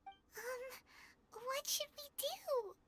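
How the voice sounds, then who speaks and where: Squeaky, childlike little sprite. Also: female voice, lively intonation, drawn-out words, heavily acted. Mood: anxious. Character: tiny high-voiced companion sprite, childlike, anxious. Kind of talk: video game voice acting, cartoonish.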